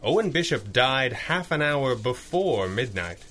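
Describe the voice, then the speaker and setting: Crisp forceful masked hero, calm, commanding, formal diction, 1930s radio drama, faint noise behind. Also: male voice, mid-range voice, a little slow. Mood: calm, grave. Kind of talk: 1930s radio serial acting.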